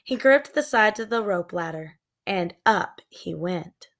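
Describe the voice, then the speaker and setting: A woman's voice: mid-range voice, expressive, unhurried, a bit bright. A woman reading scripted narration from a children's storybook. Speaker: storybook reader.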